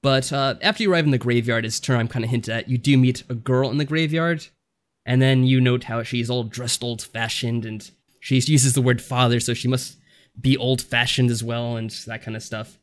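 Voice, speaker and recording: A man with a medium-pitched voice talks as a podcast co-host. He explains things casually and mildly, in measured phrases with plenty of softening qualifiers. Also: steady tone.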